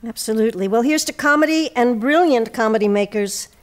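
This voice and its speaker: A woman with a fairly high voice works as the host of a theatre show. She speaks in a presenter's manner, suited to interviews and announcements. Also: brisk, no echo. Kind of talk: hosted interview and scripted credits.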